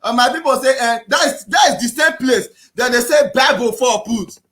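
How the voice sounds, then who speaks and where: Nigerian man giving a loud impassioned talk. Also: extremely high pitch, moderate pace. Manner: preachy, repetitive, often shouting. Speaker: activist speaker.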